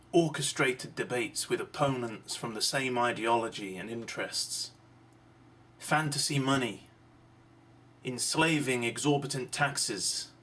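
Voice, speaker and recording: A man delivering a deliberate, emphatic monologue of social commentary, pausing between phrases. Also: medium pitch, a bit bright. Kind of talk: slow rhetorical monologue. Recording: distant mic, clearly noisy background.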